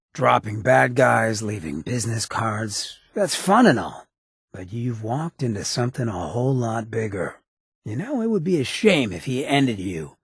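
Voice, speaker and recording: An actor playing a roguish, cynical charmer of a town mayor in a video game, with a raspy, gravelly voice and a cocky, slangy drawl. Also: male voice, medium pitch, no echo, studio quality, exaggerated voice. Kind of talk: video game character dialogue.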